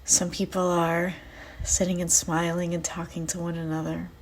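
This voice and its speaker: A woman leading a guided visualization in a soft, breathy, soothing voice, leaving long pauses between phrases. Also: on the low side, level tone, slight background noise, poor audio. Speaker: guided meditation host. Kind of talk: guided visualization meditation, first-person.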